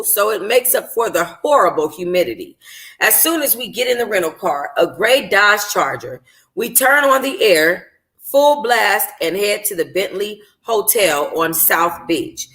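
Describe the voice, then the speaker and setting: A woman's voice: mid-range voice, big pitch swings, unhurried, a bit bright. A woman reading a novel aloud in an informal way, as a book club host, sometimes stumbling and rereading phrases. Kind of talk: informal first-person audiobook narration.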